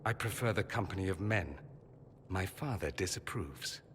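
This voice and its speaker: Bright, sardonic, proud young nobleman mage. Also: low-pitched, expressive, normal speed, long reverb tail, slight background noise. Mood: hurt, defiant. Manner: theatrical, sarcastic, articulate. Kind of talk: dramatic video game voice acting.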